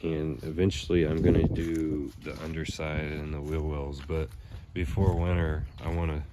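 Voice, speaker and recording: Young man, stuffed-up cold, low pitch, casual rambling, phone mic, outdoor noise. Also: moderate pace. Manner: relaxed rambling with fillers. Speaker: channel host. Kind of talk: casual how-to vlog. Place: pole barn, then outdoors on a lawn. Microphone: phone at arm's length, then phone camera behind it.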